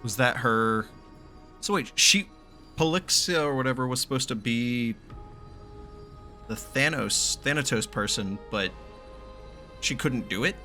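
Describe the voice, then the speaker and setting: A man with a bright, forceful voice reacts live to a video game. His pitch jumps around with lots of expression, and his talk is casual, profane and full of baffled questions. Game music plays faintly behind him. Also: animated, a little slow, pauses often. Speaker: gaming streamer reacting to a story quest. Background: game music and dialogue.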